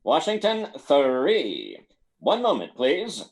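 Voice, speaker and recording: A man's voice: on the high side, wildly swooping pitch, slow pace, heavily acted. A man playing a polite, formal telephone operator in a radio drama. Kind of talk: live radio drama acting.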